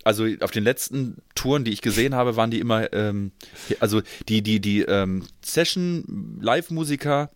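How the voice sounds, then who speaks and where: A man's voice: lowish voice, normal speed, no echo, clean studio sound. A man talking casually as co-host of a podcast. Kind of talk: casual chat.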